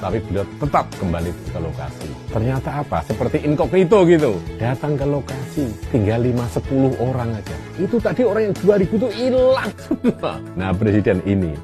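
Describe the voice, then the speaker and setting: Male interviewee, lively expressive intonation, music bed behind. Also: mid-range voice, a little fast, hardly any pauses. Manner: animated storyteller, lively intonation. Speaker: former presidential staffer, interviewee. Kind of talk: storytelling anecdote.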